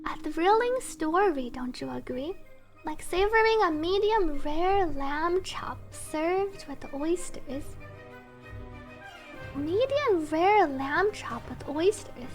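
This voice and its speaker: A woman game streamer chatting casually with viewers and reading story text aloud in a playful, expressive, teasing way. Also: very high voice, slow, soft background music.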